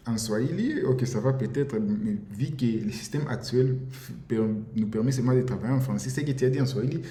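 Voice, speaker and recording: A man's voice: mid-range voice, dark tone. A man from the DR Congo, giving an impassioned monologue, insistent and repetitive, often stumbling over words. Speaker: commentator. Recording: roomy echo with faint ambience.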